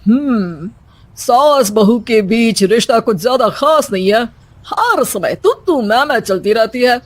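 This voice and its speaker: A woman's voice: a little high, lively intonation, unhurried, no room sound. A woman voicing a male holy man in a cartoon story, a solemn fake guru handing out remedies. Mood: solemn, instructing.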